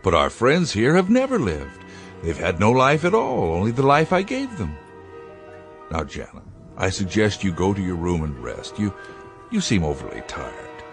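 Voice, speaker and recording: An actor playing a proud, domineering inventor and father who speaks in a smooth, patronizing way in a radio drama. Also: fairly low pitch, wildly swooping pitch, normal speed, music in the background.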